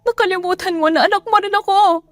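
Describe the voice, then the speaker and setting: A woman's voice: very high-pitched, fast, no echo, soft background music, pitched above the performer's own voice. A woman voicing a wounded young country woman in an audio drama, pleading and protesting with raw emotion. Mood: hurt outburst. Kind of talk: Tagalog audio drama acting.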